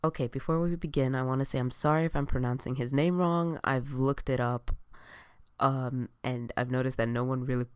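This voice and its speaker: A book vlogger with a stuffy nose from a cold, casually reviewing a novel in a rambling, hesitant way with lots of fillers and clear enthusiasm. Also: medium pitch, steady tone, brisk, no room sound, clean studio sound.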